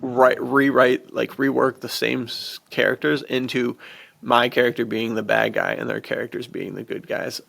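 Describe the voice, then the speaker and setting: Casual man with a heavily creaky voice. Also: medium pitch, little pitch movement, normal speed, fairly bright tone. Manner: bro slang, often says dude. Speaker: podcast host. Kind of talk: casual enthusiastic podcast chat.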